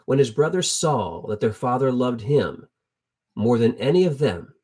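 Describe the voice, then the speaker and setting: A middle-aged man with a husky, slightly rough voice at a medium pitch preaches informally. He tells Bible stories in plain, colloquial language and repeats key phrases to drive them home. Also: big pitch swings, a little slow, dark tone. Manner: conversational, colloquial, with emphatic repetition. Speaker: preacher teaching a Bible lesson. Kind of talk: informal sermon, storytelling Bible teaching.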